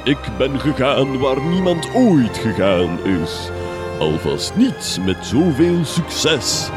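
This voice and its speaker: A man's voice: medium pitch, sing-song, slow pace. An actor playing a pompous, secretive villainous father in a gothic horror comedy radio drama, declaiming theatrically and grandly. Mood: grandiose. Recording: loud music under the voice.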